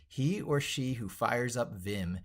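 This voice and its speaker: A man's voice: medium pitch, animated, takes it slowly. A man delivering an opinionated tech monologue in an emphatic, declarative way, reworking and repeating his phrases as he drafts them aloud. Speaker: tech educator presenter.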